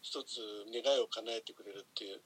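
A man appearing as a guest on an audio drama show, chatting casually in an animated, conversational way. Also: on the low side, a little fast, husky, low-quality recording. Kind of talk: casual chat.

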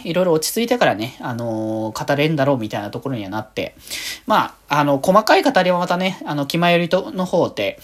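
A woman hosting a solo podcast in a casual, rambling way, as if thinking aloud. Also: fairly low pitch. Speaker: host of a solo fan podcast.

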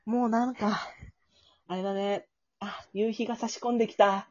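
A cute, slightly silly young woman acted out by a woman in a romantic radio skit. She is coy and girlish, pouting sweetly as she waits to be asked out. Her voice is a little husky, on a slightly muffled recording. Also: mid-range voice, steady tone, normal speed, a little mellow, voice pushed up, lightly acted. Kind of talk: improvised romantic audio skit.

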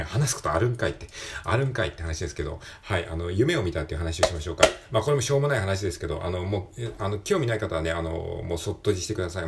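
A man's voice: sits low, a little hiss or hum. A man hosting a solo show with an improvised casual monologue, rambling and self-deprecating as he digresses. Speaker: solo audio show host.

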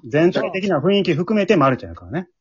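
A man with a Kansai accent hosting a casual chatty show among friends, teasing and quick to laugh, recorded remotely from home. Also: high-pitched, very animated, slightly rough, dull audio. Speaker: leader of an amateur drama group, hosting.

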